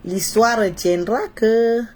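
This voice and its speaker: A woman's voice: on the high side, slow pace, darkish tone. A woman from Cameroon with a Cameroonian accent and a head cold, talking to followers on a live stream in a mocking, opinionated way, repeating phrases for emphasis. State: congested with a cold. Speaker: social media live streamer.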